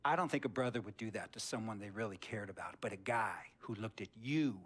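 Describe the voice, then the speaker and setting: Eccentric wealthy boss, middle-aged, controlling and slick, theatrical storyteller for a game cutscene. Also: male voice, lowish voice, brisk, breathy edge. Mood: stern, mocking. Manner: theatrical, storytelling, swinging from hushed to emphatic. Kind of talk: dramatic game cutscene monologue.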